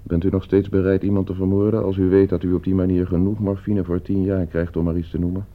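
An actor playing a coldly scheming gentleman heir in a radio play, suave, calculating and condescending. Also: low voice, flat delivery, fast talker, close to an everyday voice. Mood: coolly probing. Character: coldly scheming, broke gentleman heir plotting his uncle's murder. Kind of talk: Dutch radio play acting. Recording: muffled old radio play recording.

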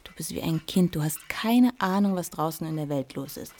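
A woman's voice: sits low, lively intonation, normal speed, a little mellow, soft background music. A woman acting as a guarded, resigned, world-weary hotel maid in a radio play. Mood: resigned, hushed.

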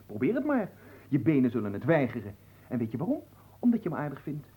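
An actor in a Dutch radio play voicing a cheeky, flirtatious manservant, theatrical and coaxing, often dropping into hushed pleading. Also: male voice, mid-range voice, wildly swooping pitch, fast, husky, narrow, tinny audio, full character voice. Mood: teasing. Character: cheeky, flirtatious manservant, smooth-talking and playfully servile.

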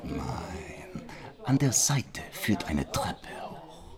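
An actor playing a shifty, greedy, nervous Algerian informant in a German radio crime drama, hesitant and wheedling. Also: male voice, medium pitch, sing-song, drawn-out words, loud music behind the voice. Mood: nervous, evasive. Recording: bar crowd behind the voice. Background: busy bistro chatter.

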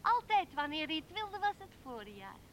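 Een vrouw speelt een dromerig, opschepperig klein meisje dat kinderlijk en zangerig vertelt in een hoorspel. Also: female voice, big pitch swings, normal speed, a bit bright. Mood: dreamy. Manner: childlike, singsong, giggly. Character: dreamy, boastful little girl in love with a giant. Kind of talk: radio drama storytelling. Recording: noisy old radio recording with rumble.

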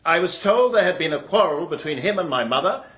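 A male actor voices an upper-class British defendant in a radio drama. He is formal, firm and defensive, with animated intonation. The recording is slightly dull. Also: a little high, a little fast, close to an everyday voice.

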